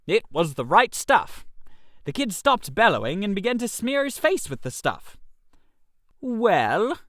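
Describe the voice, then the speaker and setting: A man's voice: high-pitched, very animated, normal speed, fairly bright tone, no echo, studio quality. A man narrating a comic short story in character as a chatty upper-class chap, in a theatrical manner. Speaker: narrator reading as a first-person character.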